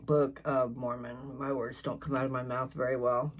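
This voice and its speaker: An older speaker chatting casually and informally in a folksy, meandering way, often halting to search for words. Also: mid-range voice, little pitch movement, slight background noise. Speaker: host of a scripture reading channel. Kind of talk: rambling casual chat before a reading.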